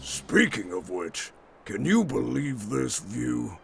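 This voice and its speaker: A man's voice: mid-range voice, very animated, slow, gravelly, exaggerated voice. A man voicing a hearty, boastful, good-natured giant warrior who eats rocks, jovial and folksy, in a fantasy game dub. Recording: music behind.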